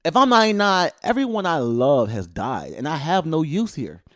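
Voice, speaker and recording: A man, hosting a casual podcast chat with loud, joking, profane banter. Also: sing-song, normal speed. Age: 30s. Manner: loud, joking, swearing banter with sudden jumps in pitch. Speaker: podcast host.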